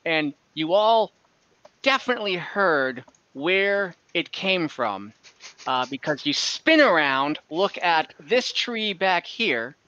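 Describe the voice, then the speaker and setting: A man running a tabletop game as dungeon master over a video call, relaxed and hesitant, thinking aloud with many fillers. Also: high voice, slow pace, clean tone, no room sound. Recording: dull lo-fi video call audio.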